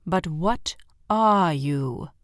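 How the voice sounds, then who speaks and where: A woman's voice: sits low, animated, very slow, dry recording, heavily acted. Casting: imperious storybook witch queen, played by a female narrator, menacing, impatient, commanding, very dry close sound. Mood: imperious, scornful. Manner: imperious, demanding. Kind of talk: children's audiobook character voice.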